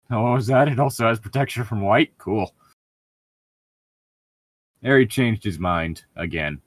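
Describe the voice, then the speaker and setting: A man's voice: on the low side, slow pace, long pauses, no room sound, studio quality. A man co-hosting a casual read-aloud of fan fiction, breaking in with irreverent, sweary, joking commentary.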